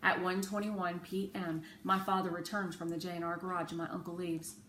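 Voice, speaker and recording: A woman narrating a children's story aloud in a measured, clearly phrased way. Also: fairly low pitch, flat delivery, a bit bright. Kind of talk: children's audiobook-style storytelling. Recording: roomy echo with faint background noise.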